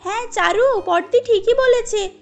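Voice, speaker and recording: A woman voicing an anxious, chiding young middle daughter-in-law in an animated family story, urging caution. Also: extremely high pitch, measured pace, faint music behind, voice pushed up. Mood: worried.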